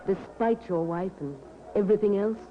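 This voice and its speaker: A woman's voice: medium pitch, moderate pace, natural voice. A woman playing an affectionate but discontented mistress, pleading earnestly in an old radio drama. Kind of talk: 1950s radio drama acting. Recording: tinny, narrow old radio recording.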